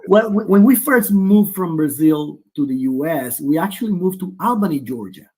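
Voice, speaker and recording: A man's voice: on the high side, expressive, normal speed, mellow, slightly rough, rough recording. A man in his forties, a guest on a remote video call who talks at length with many restarts. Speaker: guest, player's father. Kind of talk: casual interview.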